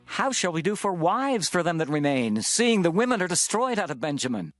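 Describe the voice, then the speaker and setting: A worried Israelite elder in a dramatized scripture. The voice is very high for a man and richly varied in melody, recorded dry over faint music. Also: big pitch swings, fairly quick, fairly bright tone, dry recording.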